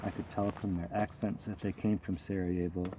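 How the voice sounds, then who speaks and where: A man with a lowish voice reads a first-person memoir in a measured, steady way. His tone is mellow and dark, with a faint hiss of noise under the recording. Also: rough recording. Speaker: audiobook narrator.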